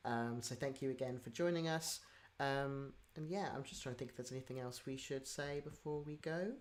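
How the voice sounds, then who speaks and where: A man's voice: medium pitch, normal speed. A man hosting a livestream, chatting casually with the audience. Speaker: livestream host. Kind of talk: casual livestream sign-off chat.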